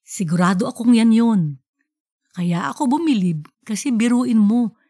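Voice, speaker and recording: A woman's voice: a little high, lively intonation, unhurried, mellow. A woman voicing a nagging but fond middle-aged wife in an audiobook story. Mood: admiring.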